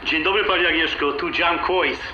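A man's voice: a little high, normal speed, lightly acted. An actor playing an outgoing, flirtatious middle-aged businessman in a radio drama, jovial and familiar. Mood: courteous, chummy. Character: outgoing, flirtatious businessman from Chicago, warm host. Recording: dull, roomy, faintly noisy radio-like sound.

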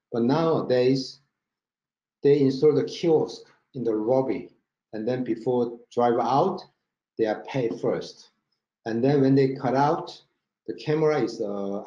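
Korean accent guy doing a slide webinar, halting english, soft mellow tone, dull roomy audio. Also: mid-range voice. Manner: halting non-native English with frequent check-in words. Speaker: company marketing director presenting a webinar. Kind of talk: product presentation over slides. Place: indoor room, online webinar with screen share.

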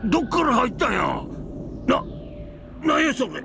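An actor playing a frightened husband under attack, gasping and stammering in a Japanese visual novel. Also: male voice, extremely high pitch, big pitch swings, a little slow, creaky, heavily acted. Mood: alarmed. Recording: music behind the voice.

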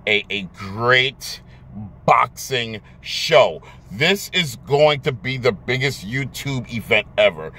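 A man's voice: mid-range voice, bright, forceful tone. An American man in his thirties talking casually to camera as a vlogger in a car, emphatic and often repeating words as he searches for the next one. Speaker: YouTube vlogger. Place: inside a car in daylight. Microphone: phone or camera at arm's length.

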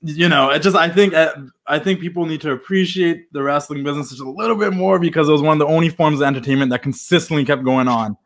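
20s guy hosting a chill interview, talks quick, chatty. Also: a little high, a bit bright. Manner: quick friendly interjections, laughs along. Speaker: podcast host. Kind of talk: casual interview chat. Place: indoor home room.

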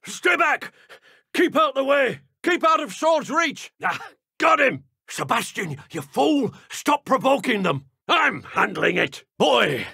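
A gravelly, husky older British wizard from a video game. He is a strict guardian uncle with a short temper, curt and scolding, and his pitch moves widely as he talks. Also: fairly bright tone, no echo, studio quality, exaggerated voice. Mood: furious shouting.

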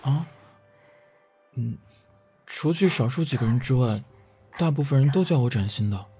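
A man's voice: medium pitch, lively intonation, moderate pace, warm tone, soft background music, lightly acted. A man playing a mild, patient young student in an audio drama. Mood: gentle. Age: young adult. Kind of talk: Chinese audio drama acting.

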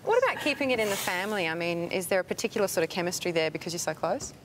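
A woman presenting the late news and interviewing guests in a TV studio, upbeat and brisk. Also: on the low side, big pitch swings, noisy background.

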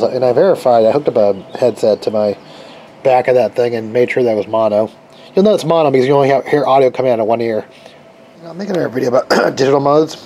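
An American man giving a casual, rambling hands-on demonstration, tentative and informal as he thinks aloud. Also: medium pitch. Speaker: hobbyist video maker demonstrating a radio setup. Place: open garage workbench. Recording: steady ambient noise, home garage camera audio.

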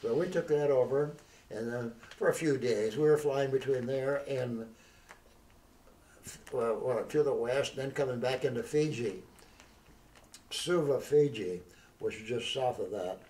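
An elderly man in an oral history interview, recalling his past in a halting, rambling way with many restarts. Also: medium pitch, warm tone. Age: older. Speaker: veteran interviewee. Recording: roomy, distant mic.

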